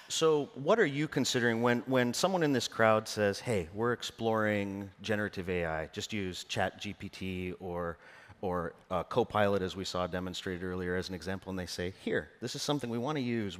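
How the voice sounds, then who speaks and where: A man's voice: on the low side, moderate pace. A man moderating a conference panel, asking questions in a conversational, joking way to the audience. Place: stage in a conference room. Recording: long reverb of a large hall.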